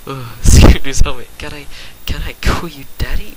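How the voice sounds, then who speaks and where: A man voicing an ecstatic tomboy cartoon pegasus mare in broken, breathy gasps, on a noisy amateur recording. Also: mid-range voice, moderate pace, close to an everyday voice. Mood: breathless ecstasy.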